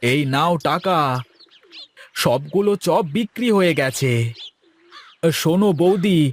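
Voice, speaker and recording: A man voicing a village shopkeeper and husband in a cartoon moral story, with a plaintive, drawn-out delivery. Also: high voice. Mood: confident. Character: despondent village shopkeeper brothers, husbands who lost everything.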